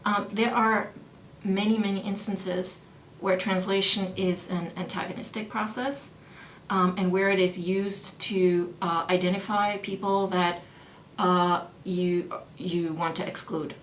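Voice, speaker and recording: A woman's voice: mid-range voice, steady tone, a little slow. A middle-aged woman, a German studies professor born in New York and raised in Vienna, explaining her research thoughtfully in an interview, with many fillers and restarts. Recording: roomy sound with faint background noise.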